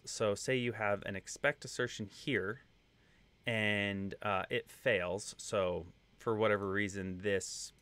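A man in his thirties with a light Texan accent talks through code in a relaxed, unhurried way, full of little fillers. His voice sits low. The sound is close and dry, with no room around it. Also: no room sound. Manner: relaxed, conversational explaining with fillers. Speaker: web testing instructor. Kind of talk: screen-share coding tutorial.

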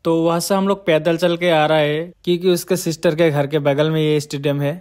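A man's voice: a little high, fairly even intonation, brisk, darkish tone. A man in casual vlog narration, chatting directly to viewers outdoors near a stadium. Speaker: vlogger.

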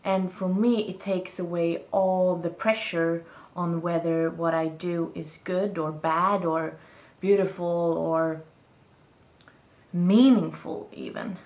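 A woman's voice: lowish voice, fairly even intonation, slow, darkish tone, roomy sound. A young woman with a Swedish accent, speaking English as a second language, talks through her thoughts to the camera in a small room, searching for words as she goes. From Sweden. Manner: thoughtful, searching for words, gestures while talking. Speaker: vlogger. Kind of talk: reflective casual monologue to camera. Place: small room indoors, seated on a bed.

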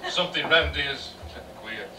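A man's voice: medium pitch, moderate pace, lightly acted. A man playing a stern, suspicious pantomime character, declaiming rhymes in an amateur stage show. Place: small bar stage, distant camera. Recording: echoey, very noisy, far from mic.

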